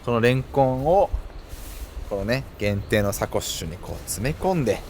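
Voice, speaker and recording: A man's voice: mid-range voice, lively intonation, moderate pace, darkish tone. A man presenting a casual vlog to camera, explaining things politely with frequent fillers. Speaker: cycling YouTuber, host. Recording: clear outdoor ambient and vehicle noise.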